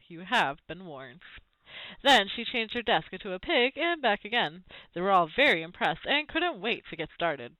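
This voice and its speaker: A woman reading a children's novel aloud as an expressive narrator, with dramatic, varied emphasis. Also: medium pitch, no room sound, highs cut off. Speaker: storybook reader.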